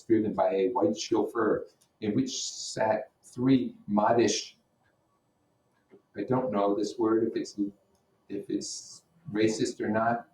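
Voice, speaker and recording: A man's voice: fairly low pitch, a little mellow. A man teaching, reading a novel aloud in an informal way with blunt asides. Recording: roomy echo, distant microphone.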